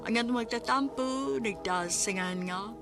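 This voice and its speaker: A woman announcing a radio drama in a slow, formal way, over music. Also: fairly high pitch. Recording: loud music, distant voice.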